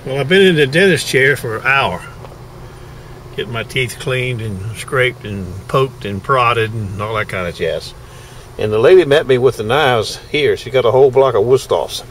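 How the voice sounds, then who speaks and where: A man's voice: mid-range voice, moderate pace, fairly bright tone, a little hiss or hum. A middle-aged man, chatting folksily in a casual video diary from his car and home, recorded on a handheld camera. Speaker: knife sharpener vlogger.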